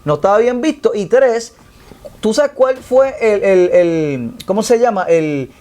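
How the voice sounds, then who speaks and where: High-pitched man in animated chat. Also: measured pace. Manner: animated, many fillers and restarts. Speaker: podcast co-host. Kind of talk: casual panel chat.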